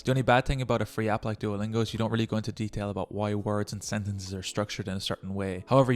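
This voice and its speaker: A man's voice: lowish voice, darkish tone. A young man giving encouraging language-learning tips in a casual vlog, talking straight to the viewer. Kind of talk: casual tutorial vlog talk.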